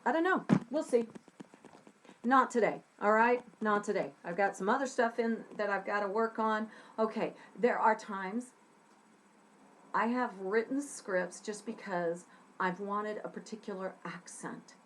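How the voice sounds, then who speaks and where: A woman's voice: mid-range voice, unhurried, slight background noise. Middle-aged lady from texas, chatty teacher vibe, talking into her webcam. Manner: chatty, animated, gesturing with her hands. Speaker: scriptwriting teacher. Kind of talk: casual talk to camera. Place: small room with a dark curtain behind.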